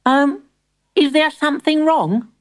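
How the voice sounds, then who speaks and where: Little boy for a children's tale, woman performer: earnest, polite, hesitant, high-pitched. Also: a little slow. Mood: eager, anxious. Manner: hesitant, innocent.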